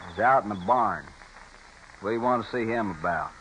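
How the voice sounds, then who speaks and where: An American actor playing a gruff, hostile ranch boss in a radio Western, curt and threatening. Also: male voice, fairly low pitch, fairly quick, a bit bright, lightly acted. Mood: menacing. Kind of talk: old-time radio Western acting. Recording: noisy old radio recording.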